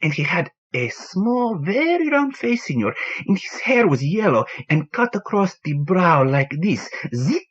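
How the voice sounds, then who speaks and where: A man voicing a grieving, excitable Cuban male bartender who exclaims in a high, breaking voice. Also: measured pace, breathy edge, exaggerated voice. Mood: eager. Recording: dull lo-fi audio.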